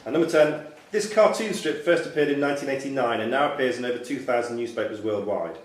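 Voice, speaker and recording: A man's voice: mid-range voice, quick pace. A man reading quiz questions aloud as a quizmaster in a club room. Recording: echoey club room with background noise.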